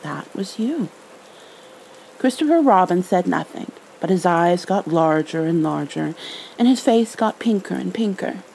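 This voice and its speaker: A woman narrating a storybook in a gentle ASMR style, expressive and unhurried. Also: fairly low pitch, vocal fry, poor audio. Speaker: ASMR roleplayer reading a storybook. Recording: faint background noise.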